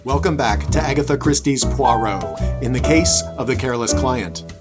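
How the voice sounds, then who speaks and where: A dramatic radio mystery announcer performed by a man, with a medium-pitched voice. He introduces the serial in old-time style over loud music. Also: normal speed, lightly acted.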